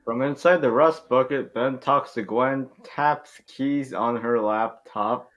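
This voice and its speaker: A man's voice: medium pitch, slow pace, fairly bright tone, highs cut off. A man reading stage directions aloud in an amateur script read, sometimes stumbling over the words.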